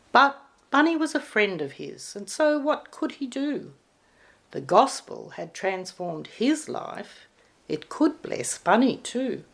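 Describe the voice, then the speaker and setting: A woman reading a rhyming poem aloud in an expressive storybook narration style. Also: medium pitch, gravelly. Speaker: poem reader.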